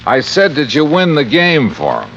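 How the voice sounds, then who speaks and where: An American actor playing a wry, probing insurance investigator in an old-time radio drama, with a clipped, dry manner. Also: male voice, on the high side, wildly swooping pitch, normal speed, vocal fry, heavily acted. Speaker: lead actor, narrator. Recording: dull vintage radio recording, music.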